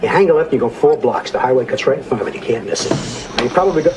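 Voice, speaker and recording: An actor playing an easygoing, wry small-town diner owner in a folksy, offhand, naturalistic film style. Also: male voice, mid-range voice, expressive, rapid-fire, noisy background, lightly acted.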